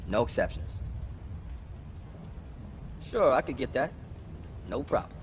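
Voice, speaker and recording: An actor playing a brash, cocky fixer for a spy team in a cinematic video game. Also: male voice, medium pitch. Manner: casual, cocky. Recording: loud music behind.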